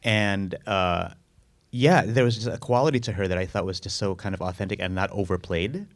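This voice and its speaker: A man's voice: low voice, brisk. A man answering audience questions as a film director, casual and rambling, often restarting and repeating words. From United States.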